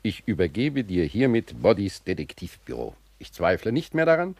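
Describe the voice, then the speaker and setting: An actor playing a pompous, solemn older father in a radio drama, with a raspy, gravelly voice. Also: lowish voice, wildly swooping pitch, normal speed, darkish tone, no room sound, exaggerated voice. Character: solemn older father, former detective bureau owner.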